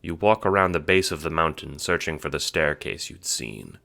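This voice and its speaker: A man's voice: rumbling low, expressive, normal speed, gravelly, sharp tone. A man reading scripted second-person story narration for an audio drama.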